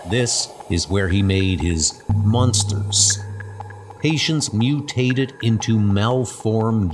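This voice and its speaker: A man playing a skeptical, self-doubting paranormal investigator, brooding and deliberate, in a dramatic comic book inner monologue. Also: fairly low pitch. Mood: scornful.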